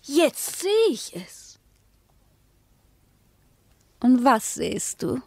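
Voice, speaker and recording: An actress playing a sceptical, nagging wife in a loveless marriage in a German radio play, speaking precisely and argumentatively. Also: female voice, on the high side, big pitch swings, extremely slow, gravelly, husky, full character voice.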